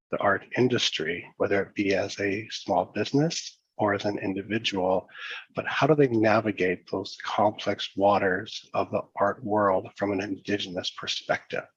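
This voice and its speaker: A man from northern British Columbia introducing himself as a program manager and trainer on a panel video call, in a measured way. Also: lowish voice, fairly even intonation, a bit bright, slightly rough, no room sound. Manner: opens with a greeting in his native language, measured. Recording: dull lo-fi sound, dry.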